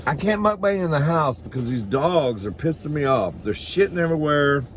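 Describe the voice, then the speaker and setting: A man's voice: a little high, animated, moderate pace, slight background noise. A man hosting a comedy mukbang, chatting casually to the camera in a campy, sassy, bawdy manner as he eats. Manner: campy and sassy, calls listeners "girl" and "honey"; bawdy, swears freely. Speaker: host of a comedy mukbang vlog. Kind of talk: casual comic chat to camera while eating.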